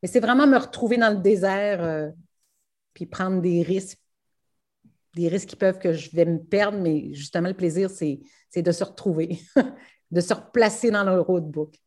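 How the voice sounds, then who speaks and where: A woman from Quebec with a Québécois accent, answering interview questions about her rallies with enthusiasm, often laughing and filling pauses with "euh". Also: lowish voice, darkish tone. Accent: Québécois French. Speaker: rally co-driver.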